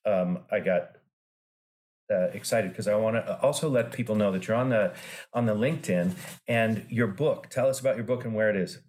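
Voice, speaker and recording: A man's voice: lowish voice, moderate pace, a little mellow. A man hosting a podcast interview, playful and jokey, with enthusiastic praise for his guest. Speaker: podcast host.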